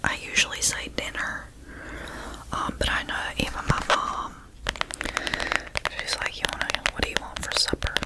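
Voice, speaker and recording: A young woman with a Southern US accent, softly whispering repeated trigger words in an ASMR video. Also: low-quality recording. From Southern United States. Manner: slow, repetitive trigger words with nail tapping. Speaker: ASMR creator.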